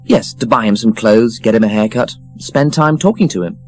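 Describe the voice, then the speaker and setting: A man voicing an earnest, hopeful, gently indignant young woman in an audiobook. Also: mid-range voice, normal speed, no room sound, soft background music, close to an everyday voice. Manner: earnest, protesting. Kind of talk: audiobook character voice.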